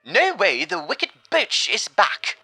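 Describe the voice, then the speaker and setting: Cheeky, high-pitched talking gramophone. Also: male voice, wildly swooping pitch, takes it slowly, bright, forceful tone, breathy edge, no echo, heavily acted, poor audio. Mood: defiant. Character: cheeky male talking gramophone, brash, mock-heroic.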